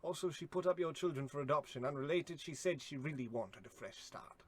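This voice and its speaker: A man's voice: on the high side, a little fast, lightly acted. A man playing a meek, loyal, deferential longtime servant who stammers, in a radio comedy play. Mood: nervous apology. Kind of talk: old-time radio comedy acting.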